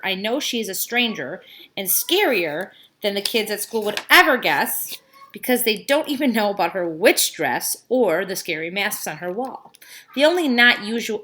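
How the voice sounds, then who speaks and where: A woman reading a children's story aloud like a teacher, with expressive emphasis on key words and casual asides. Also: medium pitch.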